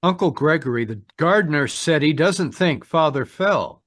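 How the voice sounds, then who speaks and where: An actor playing a suspicious, defiant young nephew in an old-style radio mystery. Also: male voice, on the high side, expressive, moderate pace, fairly bright tone, no echo, clean studio sound. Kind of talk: 1940s radio mystery acting. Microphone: video call.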